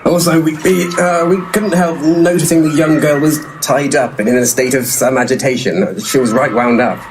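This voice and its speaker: An actor playing a shifty, blunt, deadpan paranormal investigator in a comic audio play. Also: male voice, on the high side, moderate pace, slight background noise, natural voice, poor audio. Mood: evasive. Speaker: actor in an audio comedy drama. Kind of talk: audio play dialogue, dry comedy.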